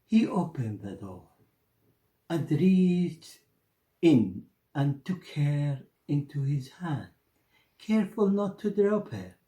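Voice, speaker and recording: A man's voice: on the high side, very animated, slow pace, pauses often, warm tone. A man from Iraq with an Iraqi Arabic accent, telling a story theatrically and dramatically, repeating phrases for effect. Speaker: storyteller, actor. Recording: echoey room.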